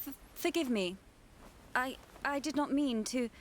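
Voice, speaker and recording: A woman's voice: sits high, animated, measured pace, slight background noise. An actress playing a formal, haughty young noblewoman with a posh British accent in a video game. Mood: formal, guarded. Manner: formal, stately, aloof. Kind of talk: video game cutscene acting.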